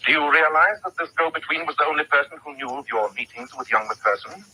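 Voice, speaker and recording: Commanding detective, old radio mystery: probing, courteous, sharp forceful voice, faint hiss. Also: male voice, a little high, quick pace. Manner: incisive, commanding, polite. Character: brisk, coolly probing gentleman detective. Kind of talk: old-time radio drama acting. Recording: thin, noisy vintage radio recording.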